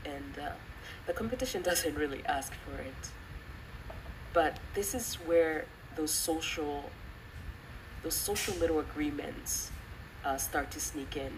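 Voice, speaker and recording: A young woman with a low-pitched voice shares personal commentary. She speaks earnestly and reflectively, pausing as she works through her thoughts. The recording sounds distant from the mic, with clear background noise. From Western country, of Rwandan descent. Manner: earnest, reflective, halting with pauses. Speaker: channel host giving commentary.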